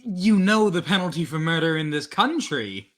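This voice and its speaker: An irritable radio performer in a vintage-style radio play , acted by a man. His voice swings widely in pitch. He sounds brusque and easily exasperated. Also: very animated, normal speed, fairly bright tone. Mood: rehearsing. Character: irritable male radio actor, Ed Henley. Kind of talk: old-time radio drama acting.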